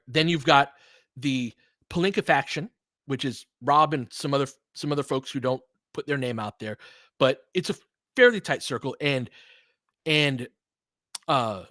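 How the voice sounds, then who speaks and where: A man's voice: mid-range voice, bright, forceful tone, no echo, studio quality. A man giving casual, opinionated basketball commentary as a podcast host, emphatic and conversational, often checking in with rhetorical questions.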